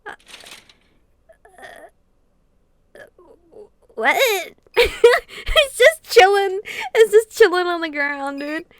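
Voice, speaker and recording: A woman's voice: extremely high pitch, lively intonation, drawn-out words, pauses often, no room sound. A woman reading a visual novel aloud and reacting casually, giggly and slangy with some casual swearing. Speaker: gaming video creator.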